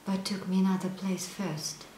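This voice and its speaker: An actress performing a stage monologue as a young woman haunted by a painful memory, moving between hushed whispers and loud outbursts. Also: mid-range voice, fairly even intonation, normal speed, natural voice. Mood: hushed recollection. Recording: echoey room, faint noise.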